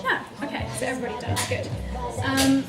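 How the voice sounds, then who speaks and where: A woman's voice: high voice, level tone, brisk. A woman giving a friendly talk to a workshop group and asking the room questions. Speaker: guest speaker. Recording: echoey room with loud music.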